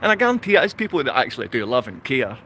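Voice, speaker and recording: A Glaswegian man speaks off the cuff to a livestream audience. His pitch sits in an average male range. He rambles, circles back on the same phrases and keeps throwing out rhetorical questions. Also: very animated, rapid-fire, a bit bright, a little hiss or hum. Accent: Glaswegian Scottish. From Glasgow, Scotland. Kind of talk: unscripted livestream monologue to viewers.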